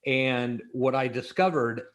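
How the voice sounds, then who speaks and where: A middle-aged man who grew up in Los Angeles tells stories as an interview guest. His talk is loose and animated and full of "you know". The voice sits at an ordinary middle pitch. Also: a little slow. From born in Israel, raised in Los Angeles. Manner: chatty, many "you know" fillers, animated. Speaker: guest, creativity expert. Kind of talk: casual storytelling interview.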